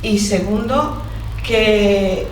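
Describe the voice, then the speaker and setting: A woman's voice: medium pitch, steady tone, very slow, a little hiss or hum. Hesitant woman in an echoey hall. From Colombia, Caribbean coast. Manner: hesitant, searching for words, many fillers and restarts; puts on a mocking voice when quoting others. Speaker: panelist at a debate. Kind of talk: unscripted public talk. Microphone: far from the microphone. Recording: echoey hall, distant mic.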